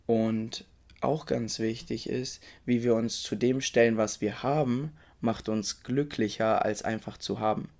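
A young man hosting a podcast, slightly hoarse from a cold, recommending a book in a casual, enthusiastic way and talking straight to the listener. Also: mid-range voice, fairly even intonation, measured pace, a little mellow. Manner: enthusiastic, informal, addresses the listener directly. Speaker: podcast host.

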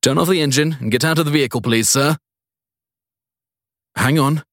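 A man's voice: a little high, normal speed, a bit bright, no echo, studio quality, voice pushed up. A man voicing a stern, suspicious police officer in a crime audiobook, giving clipped, formal orders with curt politeness. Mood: irritated, commanding. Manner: clipped formal commands ending in "sir". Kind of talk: audiobook crime story character voice.

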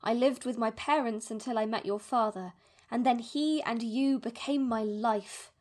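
A woman playing an anxious, wounded, fiercely loving middle-aged mother, reading her letters in a formal, measured way in a fantasy audio drama. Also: fairly high pitch, darkish tone, lightly acted. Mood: tearful, wistful.

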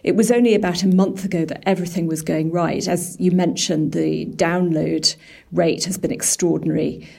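A woman's voice: on the low side, vocal fry, a little mellow, slightly rough. A woman working as a journalist and editor, giving explanatory answers in an interview. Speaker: business and technology editor.

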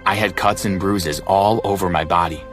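A man's voice: sits low, fairly even intonation, moderate pace, over music, natural voice. An actor playing a bitter, wounded young man who narrates his own thriller dramatically in the first person. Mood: tense, grim.

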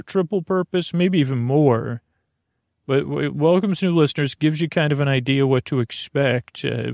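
Man, gravelly fry, dry room-free sound, bedtime podcast host, wandering monologue, halting delivery, frequent tangents. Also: medium pitch, a little mellow, no room sound. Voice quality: creaky, gravelly. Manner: meandering, halting, goes off on tangents.

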